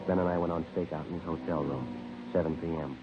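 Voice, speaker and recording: A man acts out a terse American police detective from a 1950s radio crime drama, very low in voice and quick in delivery. He is deadpan and matter-of-fact, whether questioning or narrating the case. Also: natural voice. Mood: deadpan, factual. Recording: dull vintage radio recording with music.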